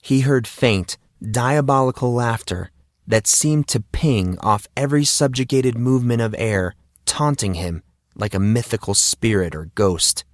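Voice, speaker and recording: A man narrating a fantasy story as an audiobook, in a dramatic, deliberate and portentous manner. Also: medium pitch, no room sound, studio quality.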